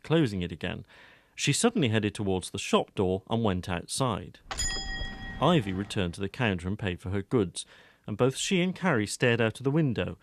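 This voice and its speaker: A man's voice: fairly low pitch, no room sound, studio quality. A man reading scripted narration for an audiobook drama as its storyteller.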